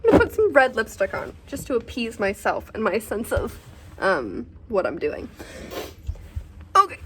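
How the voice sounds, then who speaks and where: A woman chatting casually about books in a vlog, halting as she thinks aloud. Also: on the high side, huge pitch swings, noisy background. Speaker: book reviewer and vlogger.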